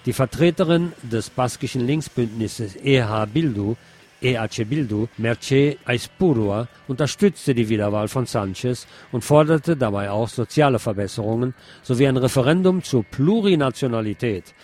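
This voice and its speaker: A man's voice: mid-range voice, normal speed, a little mellow. A man reading scripted news in the role of a radio news presenter.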